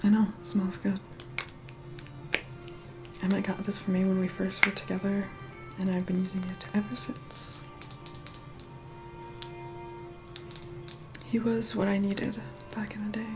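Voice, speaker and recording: A woman's voice: medium pitch, level tone, normal speed, pauses often, music in the background, lightly acted. Whispering, begrudging female vampire acted by a woman. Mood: wistful. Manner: hushed, begrudging, bossy. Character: reluctant, haughty female vampire begrudgingly helping with makeup. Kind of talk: whispered ASMR roleplay.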